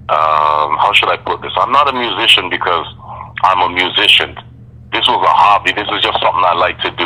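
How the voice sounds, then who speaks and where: A man being interviewed as a guest MC, speaking in a deliberate, reflective way with measured phrasing. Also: deep voice, pushed and bright, low-quality recording. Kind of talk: podcast interview.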